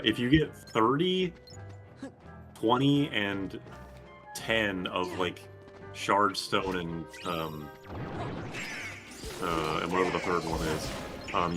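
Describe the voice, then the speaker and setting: A man with a quite low voice talks casually through a video game. He rambles and halts as he goes, swearing freely, with prominent music playing behind him. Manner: rambling, halting, profane. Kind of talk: casual gameplay commentary.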